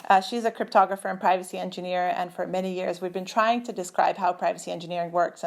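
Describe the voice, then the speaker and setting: A woman's voice: fairly low pitch, gravelly. A middle-aged woman from Turkey, a computer scientist speaking as an interview guest over a video call, thoughtful and full of fillers and restarts. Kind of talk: conversational interview.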